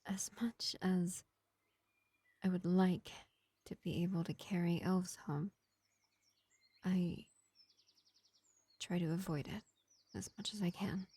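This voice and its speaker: A gentle vampire medic, played by a woman, speaks in a hushed, whispery murmur. The tone is soothing and unhurried, with a mellow, soft-edged timbre. It is a close, dry fantasy roleplay recording for a single listener. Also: on the low side, steady tone, slightly rough, dry recording. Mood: reassuring, regretful. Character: gentle, kindly vampire field medic tending a wounded elf. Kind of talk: roleplay audio drama addressed to the listener.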